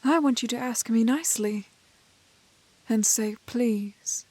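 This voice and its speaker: A woman playing a prim, plucky, kind-hearted young woman in a fairy-tale roleplay, with soft voice and proper, old-fashioned phrasing. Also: fairly high pitch, takes it slowly, dry recording. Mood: miffed, teasing. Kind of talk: romantic fantasy roleplay audio acting.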